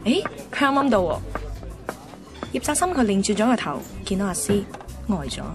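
A woman's voice: mid-range voice, sing-song, normal speed, lightly acted. Woman acting chatty choosy hk cantonese girl in her 20s, radio drama storyteller, cheeky, music under her voice. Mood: storytelling. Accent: Hong Kong Cantonese. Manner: cheeky, self-assured, conversational. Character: picky, chatty 27-year-old female radio host. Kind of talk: first-person radio drama narration.

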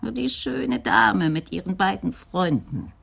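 Husky, creaky, frightened old woman. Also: mid-range voice, animated, a bit bright, full character voice. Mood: confiding. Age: older. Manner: halting, simple, rambling sentences. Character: frightened, frail, lonely old Dutch widow, chattering about neighbours. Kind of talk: radio crime drama acting.

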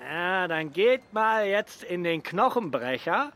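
An actor playing a gruff, officious but good-natured pirate harbour master in a children's radio play, teasing and colloquial. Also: male voice, high-pitched, big pitch swings, slow, a bit bright, full character voice. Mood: grudgingly jovial.

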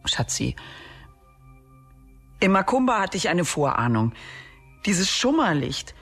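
A woman's voice: lowish voice, very animated, slow, a bit bright, husky, soft background music, heavily acted. A woman acting in a German radio drama as an uneasy, suspicious holidaymaker, brooding and insistent.

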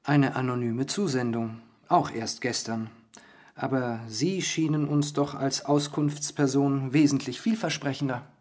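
A man's voice: medium pitch, fairly even intonation, unhurried, a little mellow, natural voice. A man playing a probing investigative journalist in a radio drama, matter-of-fact in delivery.

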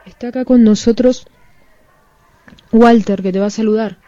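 Very dark-voiced woman interviewing on radio. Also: on the high side, fairly even intonation, normal speed. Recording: dull, muffled radio recording.

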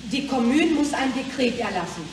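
An actress playing an earnest schoolteacher turned revolutionary delegate in a German radio play, firm and defensive. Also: female voice, sits high, animated, moderate pace. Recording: very echoey, distant, noisy.

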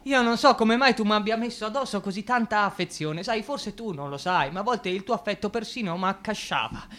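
An actor playing an anguished, exhausted young dreamer in a radio drama, pleading, rambling and repeating himself in self-reproach. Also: male voice, high voice, animated, fairly quick, fairly bright tone. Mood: weary, tearful affection. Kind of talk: theatrical radio drama acting.

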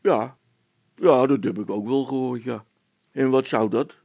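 A man voicing a gruff village constable in a children's audiobook, blustering and dismissive but secretly timid. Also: mid-range voice, unhurried, darkish tone, dry recording.

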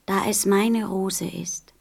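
Earnest, innocent little prince. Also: female voice, mid-range voice, steady tone, slow, vocal fry, a little mellow. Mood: earnest, firm. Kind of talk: radio play acting.

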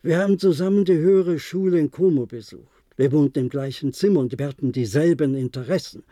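Resigned older diplomat, mellow voice played by a man. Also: a little high, no echo, studio quality, close to an everyday voice. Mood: nostalgic, reflective. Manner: measured, reminiscing, formal. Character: resigned, reflective former diplomat, aging bunker survivor. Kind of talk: German radio play acting.

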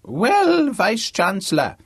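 A storybook old man of ninety, crotchety and commanding. The voice is loud, pushed high and rough with huskiness, as he orders younger people about. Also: wildly swooping pitch, takes it slowly, no room sound, full character voice. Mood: crotchety, imperious. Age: older.

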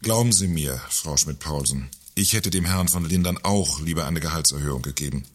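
An actor playing a sardonic, pompous company director in a satirical radio play, speaking in a dry, condescending, formal manner. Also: male voice, very deep voice, a little fast, breathy edge. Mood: grave, condescending.

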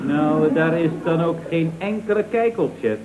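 Principled, formal male employee played by an actor, old radio comedy, lightish high pitch, very narrow-band recording. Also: animated, normal speed, background noise, close to an everyday voice. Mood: dry, dutiful. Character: earnest, dutiful, principled male draughtsman answering his boss. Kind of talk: radio comedy drama acting.

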